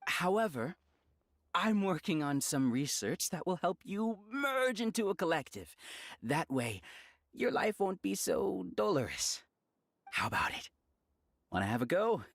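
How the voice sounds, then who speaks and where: A man playing a courteous, quietly sinister schemer in a video game, genteel and persuasive in his delivery. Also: high voice, sing-song, a little slow, no echo, studio quality, heavily acted. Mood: coaxing. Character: smooth, courteous male schemer luring recruits, quietly sinister. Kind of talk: dramatic game voice acting.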